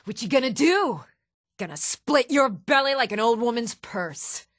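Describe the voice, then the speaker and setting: A woman's voice: fairly high pitch, expressive, a little slow, a bit bright, no echo. Savage female raider, taunting, menacing, gloating, fantasy game combat barks, dry sound. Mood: aggressive. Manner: menacing, jeering.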